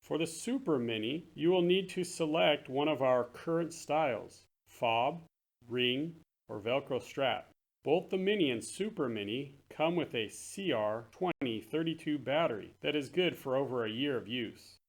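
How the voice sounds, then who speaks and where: A man with a mid-pitched voice presents products for a promotional video. He speaks as a straightforward company presenter, explaining things plainly. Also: expressive, a little slow. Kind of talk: scripted product narration, later casual demo chat.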